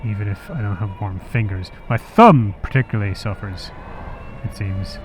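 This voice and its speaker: A man vlogging in a casual, rambling monologue while riding a motorcycle, thinking aloud with hesitant pauses, sounding a little sniffly from the cold. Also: lowish voice. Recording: muffled audio, loud wind and engine noise. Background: wind, road and motor vehicle noise.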